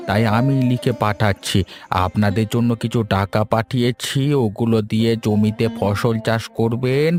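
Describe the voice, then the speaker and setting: A man playing a teasing, placating old village grandfather in an animated story. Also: lowish voice, close to an everyday voice. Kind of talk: Bengali animated story dialogue.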